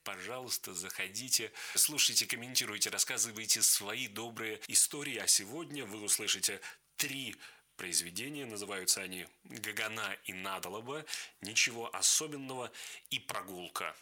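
Earnest low-voiced male actor. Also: normal speed, bright, forceful tone. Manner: earnest, heartfelt. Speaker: actor and audiobook reader. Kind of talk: radio guest talk.

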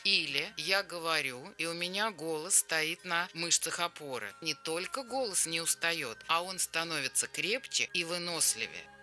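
Low, bright-voiced female speech coach. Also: lively intonation, moderate pace, few pauses. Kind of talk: instructive spoken demonstration. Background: faint music.

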